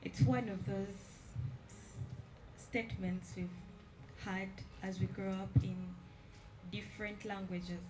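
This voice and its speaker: A woman's voice: medium pitch, steady tone, takes it slowly, pauses often, noisy background. Polite woman asking a question. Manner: polite, effusive. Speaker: audience member asking a question. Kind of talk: question from the floor at an exhibition.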